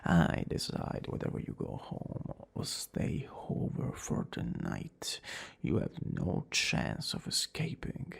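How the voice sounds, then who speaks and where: A man's voice: on the high side, heavily acted. A man playing a smug, dramatic young villain student who is secretly smitten, in an ASMR romance roleplay. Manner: dramatic, drawn-out boasting that slips into breathy whispers and flustered exclamations. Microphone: close studio-style microphone.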